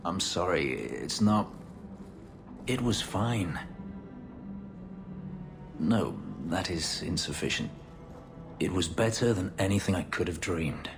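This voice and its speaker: Brooding elf warrior, ex-slave, very low and formal, restrained; game cutscene over loud music. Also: male voice, lively intonation, slow, long pauses, exaggerated voice. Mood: anguished. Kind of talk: video game cutscene dialogue.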